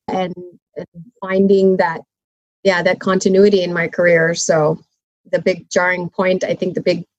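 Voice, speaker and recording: A woman's voice: lowish voice, no echo, rough recording. A woman who grew up in Malaysia and Canada, speaking as an interview guest, articulate but a little rambling with restarts. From Malaysia, raised in Canada. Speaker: guest, sustainability consultant. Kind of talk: conversational interview.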